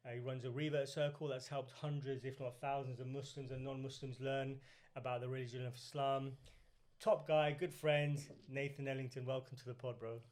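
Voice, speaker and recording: A man's voice: mid-range voice, level tone, a little fast, a little mellow. A man with a southern English accent, talking casually as a podcast host and interviewer. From UK, grew up in Reading.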